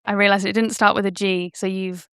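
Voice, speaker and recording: A woman's voice: medium pitch, little pitch movement, fairly quick, fairly bright tone, clear, resonant tone, dry recording, studio quality. A woman hosting a research podcast, bubbly and encouraging, often laughing as she leads the conversation. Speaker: podcast host. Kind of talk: podcast interview.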